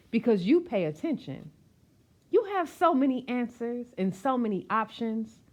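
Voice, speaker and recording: A woman reading a rhyming picture book aloud as a storyteller, expressive and emphatic, with questions and asides to her listeners. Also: a little high.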